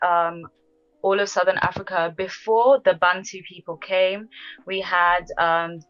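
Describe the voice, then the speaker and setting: A woman's voice: fairly low pitch, fairly bright tone, lo-fi sound. A woman with a British accent, born in Zimbabwe and raised in the UK, who explains history at length as a podcast guest, with many fillers.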